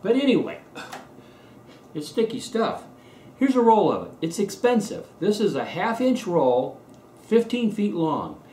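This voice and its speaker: A man's voice: on the high side, a little mellow. American guy doing a casual garage demo, bit echoey with faint noise, explains stuff step by step. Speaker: presenter of a how-to video. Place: indoors in a home garage workshop.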